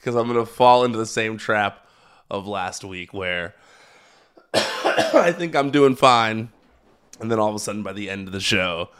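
A man hosting a wrestling podcast, talking in an energetic, hyped-up, chatty way while recovering from being sick. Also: lowish voice, measured pace, pauses often, clear, resonant tone. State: recovering from illness. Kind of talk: casual podcast recap.

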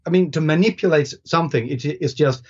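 A man's voice: on the high side, brisk, husky. Native Swedish man, podcast guest, hesitant and thoughtful delivery, slightly muffled recording. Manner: thoughtful, hesitant with many restarts and fillers. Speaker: guest author. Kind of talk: casual podcast conversation. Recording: slightly dull lo-fi sound.

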